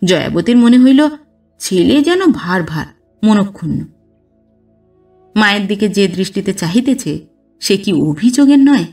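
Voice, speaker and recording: A woman reading a literary story aloud as a narrator, with expressive, dramatized delivery. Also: medium pitch, unhurried, pauses often, distant mic. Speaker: audiobook narrator. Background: background music.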